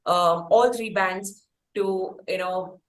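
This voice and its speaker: A woman's voice: medium pitch, slow pace. A woman with an Indian accent hosting an interview conversationally, effusive and appreciative toward her guests. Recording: echoey room sound.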